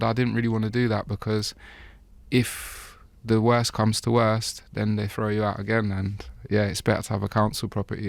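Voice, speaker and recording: A man giving an interview, talking in a relaxed, rambling way with frequent laughs. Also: on the low side, gravelly, dry recording. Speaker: interview guest, chef. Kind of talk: casual interview talk.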